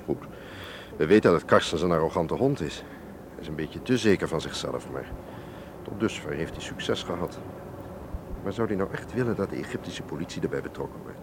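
A shrewd museum doctor in a radio drama. His voice is fairly low, his delivery dry, measured and authoritative, on a slightly noisy recording. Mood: pensive, cool. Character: shrewd, self-assured museum doctor, coolly pressing and insinuating. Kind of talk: radio drama acting in Dutch.

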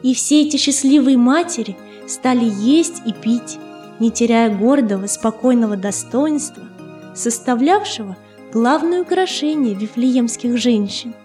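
A woman reads a children's story in a high, mellow voice. Her delivery is measured and expressive, in the style of a literary audiobook. Faint music plays behind the narration.

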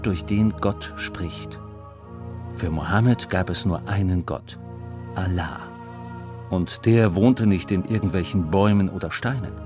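A man narrates a scripted educational audiobook for children in a measured, clearly phrased storytelling manner. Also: on the low side.

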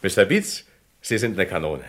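An actor playing a cooperative kidnapping victim who hesitantly recalls details, in a vintage radio crime drama. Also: male voice, deep voice, wildly swooping pitch, moderate pace, a bit bright, heavily acted. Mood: recalling.

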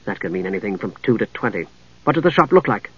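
A man voicing a young mage, quiet and bitter, with a cool, restrained delivery in a fantasy audiobook. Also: medium pitch, expressive, fairly quick, slightly rough, dry recording, highs cut off. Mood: practical.

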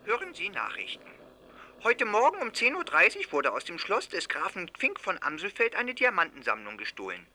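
A formal radio newsreader with a very sharp, forceful tone. His high voice delivers the bulletin briskly, with exaggerated swings of pitch. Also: huge pitch swings, full character voice. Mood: official. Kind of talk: parody radio news bulletin in audio play.

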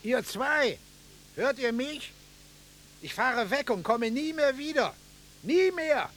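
An actor playing a fed-up, defiant caller in a German radio drama. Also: male voice, very high-pitched, expressive, slow pace, a bit bright, exaggerated voice.

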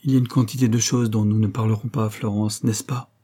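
Man voicing a proud, bitter young wife, husky. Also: fairly low pitch, flat delivery, fairly quick, dark tone. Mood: indignant. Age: young adult. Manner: railing, vehement, impatient.

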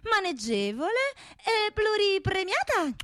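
A woman's voice: very high voice, very animated, slow, a bit bright, no echo, studio quality, exaggerated voice. A woman playing a seductive, self-admiring female pistol in a theatrical radio play, proud and teasing. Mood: boastful, alluring.

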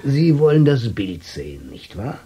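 An actor playing a whimsical old shopkeeper in a radio drama, speaking halting, murmured in a husky, creaky voice. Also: male voice, mid-range voice, big pitch swings, warm tone, highs cut off, heavily acted. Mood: quietly knowing. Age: older. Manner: halting, murmured phrases with repeated 'ja'. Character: whimsical old junk-shop keeper, sly and wistful.

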